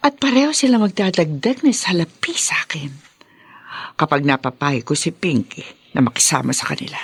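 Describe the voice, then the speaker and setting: An actress playing a scheming, greedy older brothel madam in a Filipino radio drama, with a creaky voice and a sly drawl punctuated by hums. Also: female voice, low-pitched, lively intonation, a little slow, pauses often, fairly bright tone, dry recording, full character voice. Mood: gloating, scheming.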